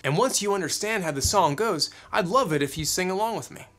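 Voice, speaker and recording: A man's voice: sits high, lively intonation, fairly quick, a bit bright. A man in his thirties hosting as a children's music teacher, talking upbeat and encouragingly in simple phrases and questions aimed at little kids. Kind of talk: upbeat talk to young children.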